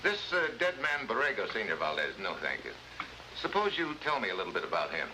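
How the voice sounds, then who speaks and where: An actor playing a wry, tough American special agent in a 1950s spy drama, with an American accent and sardonic delivery. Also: male voice, fairly low pitch, huge pitch swings, a little fast. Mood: businesslike. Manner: wisecracking, sardonic, hard-boiled. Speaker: actor in the lead role. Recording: noisy vintage 1950s broadcast recording.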